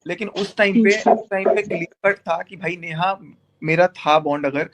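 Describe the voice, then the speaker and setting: A man's voice: sits high, normal speed, a bit bright. A man speaking insistently in a heated, casual discussion, repeating his phrases to make his point. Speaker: YouTuber in a group call. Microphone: group phone call.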